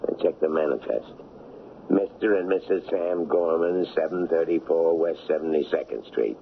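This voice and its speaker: A scheming, menacing drug smuggler in a radio play. His voice is rock-bottom low and full of gravel, and he talks in slangy, brash bursts. The old broadcast sounds muffled. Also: moderate pace, a little hiss or hum. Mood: sly, boastful. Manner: slangy, brash street-tough talk. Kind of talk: radio drama acting.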